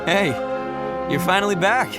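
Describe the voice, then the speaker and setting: A man's voice: high voice, unhurried, over loud music. A man voicing a cheerful, welcoming oni friend in a video game, hearty and friendly.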